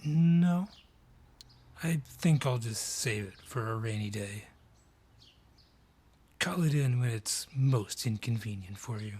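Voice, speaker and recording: A man voices a menacing male dryad, lord of his forest, in a fantasy audio drama. The voice is husky and breathy, unhurried and deliberate, dripping with mockery and condescension. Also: medium pitch, dark tone. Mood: condescending, sly. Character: haughty, sly male dryad ruling a forest, menacing and mocking. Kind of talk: fantasy audio roleplay acting.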